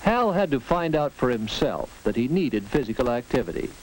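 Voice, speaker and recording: A man's voice: medium pitch, big pitch swings, normal speed, slight background noise, low-quality recording. An American man narrating a 1950s instructional film in a scripted, instructive way, addressing the viewer directly, on a vintage film soundtrack.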